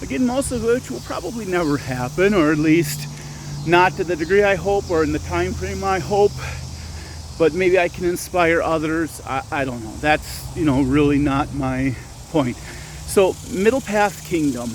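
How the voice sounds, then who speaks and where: A man's voice: on the high side, animated, normal speed, slight background noise, poor audio. Rambling man vlogging outdoors on a walk. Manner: rambling, with frequent restarts and self-corrections. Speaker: channel host and storyteller. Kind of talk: casual walking vlog talk. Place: outdoors on a walking path at dusk.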